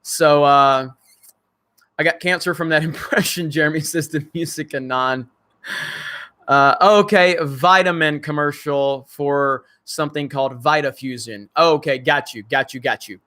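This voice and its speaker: Male streamer host, slightly high, bright and crackly voice, blunt and profane, loose joking chat. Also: unhurried. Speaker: channel host. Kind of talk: casual livestream chat reading viewer comments.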